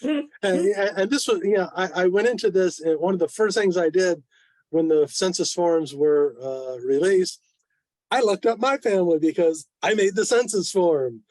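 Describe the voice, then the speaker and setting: Old guy in his 70s, lightish higher voice, wry club talk on a video call, dry close sound. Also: normal speed, darkish tone, no room sound. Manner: wry, self-deprecating. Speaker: club presenter. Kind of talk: informal club presentation with questions. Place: online video meeting.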